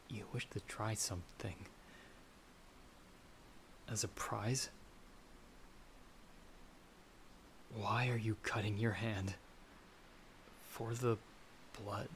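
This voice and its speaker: A man playing a frightened but defiant young traveler in a dramatic audio roleplay, with breathless, halting, intense delivery. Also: lowish voice. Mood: wary, confused. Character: frightened, defiant young male traveler turned half-vampire. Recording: noisy track with storm ambience.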